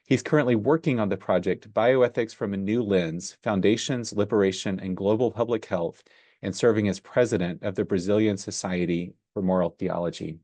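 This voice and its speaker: A man moderating a seminar, reading a formal introduction of the guest speaker in a measured way. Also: lowish voice, a little mellow, no room sound. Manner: measured, reads a prepared bio.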